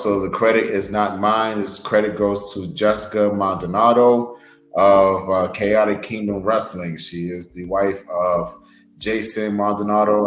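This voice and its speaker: A man's voice: fairly low pitch, roomy sound, rough recording. A man who wrestles, co-hosting a podcast and telling a motivational story in an earnest, heartfelt way. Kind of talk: casual podcast chat.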